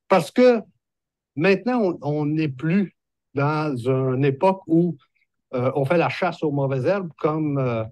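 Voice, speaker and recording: A man in his sixties with a Québécois accent, a horticulturist explaining green-space care in a measured, hesitant way with many fillers. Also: fairly high pitch, darkish tone, no room sound. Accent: Québécois French. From Quebec. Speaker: horticulturist presenting a video. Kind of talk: explanatory video talk.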